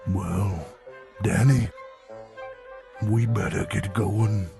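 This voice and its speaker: A man playing a big, heroic snowman with a booming voice, hearty and protective, in a dramatized fantasy story. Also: steady tone, very slow, gravelly, over music, heavily acted. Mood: hearty, unhurried.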